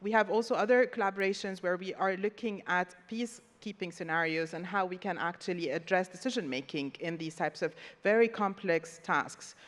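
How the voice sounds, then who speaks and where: A woman's voice: lowish voice, moderate pace, hardly any pauses. Woman lecturer on a panel, walks through examples one by one, echoey hall. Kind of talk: conference panel talk. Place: conference hall. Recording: long reverb from a large hall.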